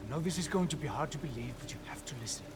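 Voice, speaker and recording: A man playing an earnest, persuasive, protective Northman in a cinematic video game, halting and often hushed. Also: on the high side, expressive, lightly acted. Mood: urgent pleading. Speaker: game character actor.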